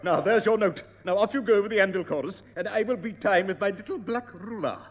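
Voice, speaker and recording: An actor playing a prim, pompous piano teacher in a 1960s radio comedy. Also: male voice, high-pitched, a little fast. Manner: pompous, prim, repeats "oh yes". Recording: dull, echoey old radio broadcast.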